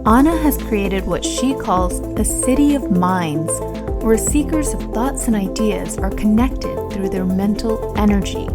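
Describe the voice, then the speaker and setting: A woman hosting a podcast, giving a smooth, steady scripted introduction to the show and its guest. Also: mid-range voice. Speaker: podcast host. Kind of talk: scripted show intro, then interview questions.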